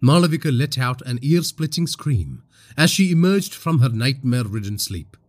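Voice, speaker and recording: A man from India narrating a scripted horror story in a husky voice, with dramatic, suspenseful delivery. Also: medium pitch, sing-song, normal speed, noisy background.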